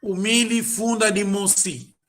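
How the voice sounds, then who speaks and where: A man's voice: high-pitched, steady tone, unhurried, a bit bright, soft background music. A man tutoring a student in an informal lesson, patiently repeating and drilling words. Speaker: language tutor.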